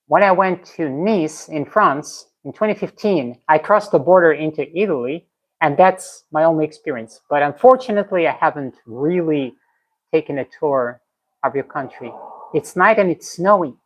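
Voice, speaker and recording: A young woman from Russia, a native Russian speaker, chatting casually on a live stream and reading out and answering viewer comments. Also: low-pitched. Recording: slightly dull lo-fi stream audio.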